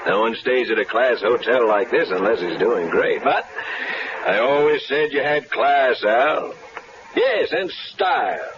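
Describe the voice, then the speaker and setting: A man's voice: mid-range voice, sing-song, a little slow. An actor playing a sly, threatening tough-guy blackmailer in a 1970s radio drama, on a muffled broadcast with hiss. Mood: menacing. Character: menacing adult male tough-guy blackmailer.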